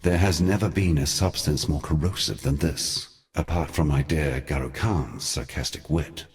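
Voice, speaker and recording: An ancient fallen deity with an extremely low voice. He speaks like a weary, eloquent schemer from a fantasy game, every phrase grave, archaic and measured. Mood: dry satisfaction. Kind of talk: theatrical scripted fantasy game dialogue.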